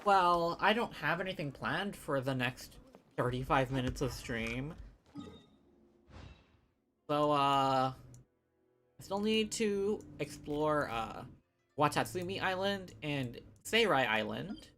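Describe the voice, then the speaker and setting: A woman's voice: low voice, animated, takes it slowly, pauses often, fairly bright tone, faint music behind. A woman livestreamer giving casual commentary while she plays a video game.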